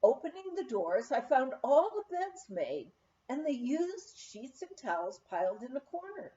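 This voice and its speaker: A woman narrates a memoir in a high, lively voice that swings with the story. Her delivery is expressive and unhurried, with a soft, mellow tone. The recording is a little dull and lo-fi. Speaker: audiobook reader. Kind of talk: scripted narration of a humorous memoir.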